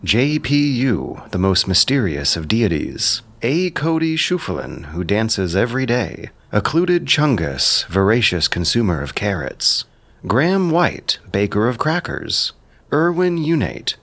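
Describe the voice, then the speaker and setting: A man's voice: lowish voice, big pitch swings, unhurried, fairly bright tone, no room sound. A man giving scripted commentary in an articulate, bookish way with dry asides. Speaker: writer and narrator of an audio drama.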